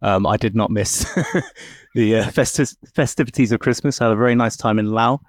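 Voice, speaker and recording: A man co-hosting a podcast, chatting in a relaxed, hesitant way. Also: lowish voice, normal speed, no room sound. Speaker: podcast co-host. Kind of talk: casual chat.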